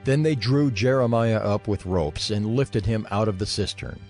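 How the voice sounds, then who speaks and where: A man's voice: fairly low pitch, moderate pace, a little mellow, soft background music. A man narrating scripture as part of a dramatized audio reading.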